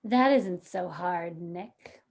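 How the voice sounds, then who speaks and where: A woman's voice: fairly low pitch, takes it slowly. A woman playing a coy, calculating, murderous young bride in a vintage-style radio play. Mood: coy, guarded. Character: coy, greedy, murderous young bride, sly femme fatale.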